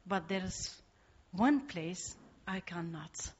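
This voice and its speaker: A woman's voice: lowish voice, lively intonation, takes it slowly, a little mellow, slightly rough, dull audio. A woman with an Iraqi accent giving a church testimony in simple English, hesitant and full of fillers. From Iraq. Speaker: guest speaker.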